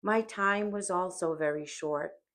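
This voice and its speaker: A woman reading an audiobook as dramatic scripted narration, solemn and deliberate. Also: lowish voice, a bit bright. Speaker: audiobook reader.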